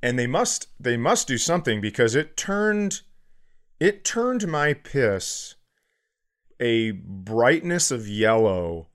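Man, mid-pitched voice, comedy podcast co-host, casual banter, halting repetitive delivery, comic exaggeration. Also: fairly bright tone, clear, resonant tone.

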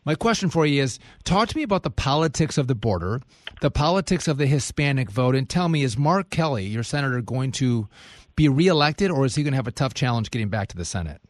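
A man guest-hosting a radio talk show, putting brisk, leading questions to his guest. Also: medium pitch, lively intonation, no echo, studio quality.

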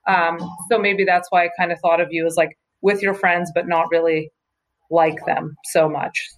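A young woman being interviewed by her brother. She talks in a halting way, full of "like" and "um" as she hunts for words. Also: lowish voice, fairly even intonation, rough recording. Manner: halting, filler-heavy, searching for words. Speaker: host's sister, interviewee. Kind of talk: casual interview.

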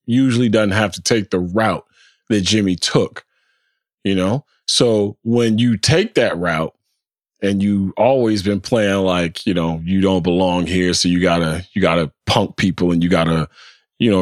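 A man with a fairly low voice hosts a sports podcast in loose, casual talk. He is animated and swears freely, often stumbling and repeating words. The sound is dry and close, with no room around it. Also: measured pace, dry recording. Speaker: podcast host.

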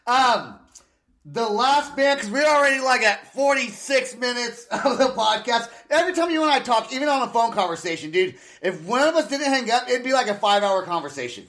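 Laid-back high-voiced man host. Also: animated, fairly quick, a bit bright. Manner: laid-back, laughs often, says dude. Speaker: podcast host. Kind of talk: casual chat.

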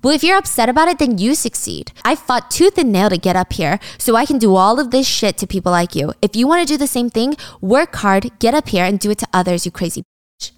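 A woman's voice: fairly high pitch, expressive, a little fast, no room sound, clean studio sound, lightly acted. A woman voicing a mean young female influencer in a story, condescending and sarcastic. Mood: scornful rant.

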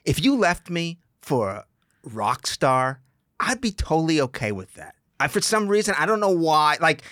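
A man's voice: fairly high pitch, sing-song, moderate pace, a bit bright, no echo, clean studio sound. A man with a nasal voice, a comedian guest making self-deprecating jokes in casual chat.

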